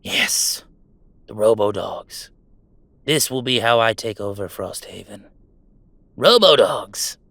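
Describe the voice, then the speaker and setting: A man's voice: on the low side, animated, slow, vocal fry, pauses often, fairly bright tone, no echo, full character voice. A man playing a goofy young werewolf streamer in an intimate roleplay audio drama, talking in casual, mock-grandiose banter with small hesitations. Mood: playful, mock-grandiose. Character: goofy young male werewolf streamer, playful, defiant about family. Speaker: game streamer.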